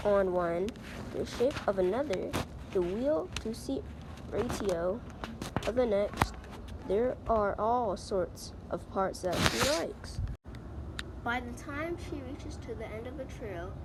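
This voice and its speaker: Slow, higher-voiced female student reading a storybook. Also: very noisy. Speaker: student reader. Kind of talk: read-aloud children's story narration.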